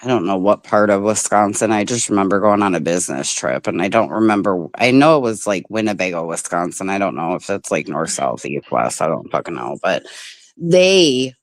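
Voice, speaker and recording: Woman from the midwest hosting a stream, chatty and swears a lot, pitch jumping all over, dry sound. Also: big pitch swings, a little fast, no echo. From Midwest United States. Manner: chatty, swears freely, laughs often. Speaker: livestream host. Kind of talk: casual chat.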